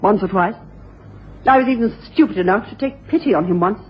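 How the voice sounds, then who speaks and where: An actress playing a bitter, stubborn middle-aged sister in a radio drama, speaking with emphasis and indignation. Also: female voice, medium pitch, a little fast, lightly acted. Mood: dismissive, reluctant. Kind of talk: 1980s radio drama acting. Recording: dull old radio broadcast, faint noise.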